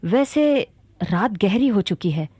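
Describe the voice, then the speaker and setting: A woman's voice: medium pitch, lively intonation, slow, mellow, breathy edge, no echo. A woman acting a bold, commanding young warrior sultana in a radio drama. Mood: determined.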